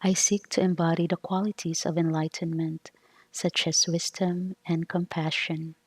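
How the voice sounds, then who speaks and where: A woman meditation leader giving a scripted devotional recitation in solemn, deliberate phrases. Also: fairly low pitch, flat delivery, darkish tone, breathy edge, dry recording.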